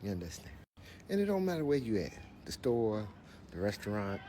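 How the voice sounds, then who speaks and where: A man with a lowish voice rants in comic exasperation, stretching words out for emphasis. His pitch swings widely, and faint background noise sits under the voice. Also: big pitch swings, warm tone. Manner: exasperated ranting, drawn-out emphasis. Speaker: creator of a reacted clip. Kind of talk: comedic rant.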